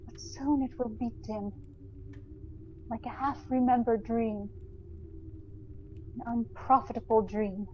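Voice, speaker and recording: A woman playing a sorrowful, dignified young woman in an audio drama, speaking gently and earnestly in measured phrases. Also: fairly high pitch, fairly even intonation, highs cut off, slight background noise. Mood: sorrowful, firm. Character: sorrowful, dignified young fiancée releasing her beloved. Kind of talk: theatrical audio drama acting.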